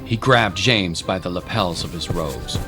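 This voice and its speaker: Male narrator, low pitch, unhurried, dramatic storytelling, loud music underneath.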